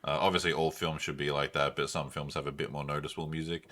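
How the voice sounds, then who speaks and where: A man's voice: very deep voice, level tone, quick pace, fairly bright tone. A man co-hosting a podcast, chatting casually about films in a rambling, slangy, enthusiastic way. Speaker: podcast co-host. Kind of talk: casual film discussion.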